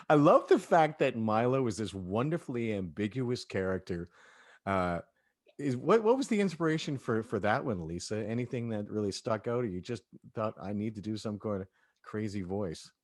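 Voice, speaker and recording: A man's voice: on the low side, animated, normal speed. A man chatting casually and expressively as he leads a group discussion among performers. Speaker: audio drama cast member leading a cast discussion.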